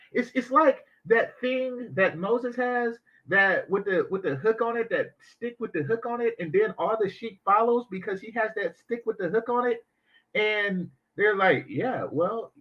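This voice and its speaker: A man hosting a reaction commentary, talking in an animated, sarcastic way with mocking asides. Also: high voice, dull audio. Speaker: commentary channel host.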